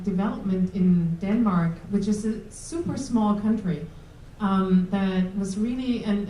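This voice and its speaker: A German-accented woman talks on a panel, pausing and filling gaps with hesitant sounds. Her voice is mellow, and it reaches the mic from a distance in a roomy hall with faint background noise. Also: medium pitch. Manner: hesitant, many ums, restarts. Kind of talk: panel discussion. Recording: roomy room audio from a distance.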